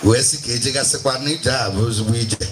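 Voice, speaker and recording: A man's voice: medium pitch, fast talker. A man with a Nigerian Igbo accent hosting a live stage event as master of ceremonies, switching between Igbo and English in showy announcer phrasing. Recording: noisy live event over a microphone.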